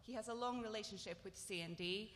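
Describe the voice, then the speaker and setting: A woman with a Welsh accent, a campaigns officer, briskly introducing speakers. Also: medium pitch, fairly bright tone. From Wales. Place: large hall. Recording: echoey hall, faint noise.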